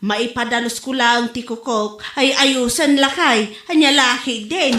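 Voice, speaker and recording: A woman's voice: on the high side, unhurried, music in the background, lightly acted. A woman playing a chatty, vain wife and mother with an Ilocano accent, bantering expressively in a comedy drama. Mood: resigned, insistent. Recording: roomy, echoey home recording.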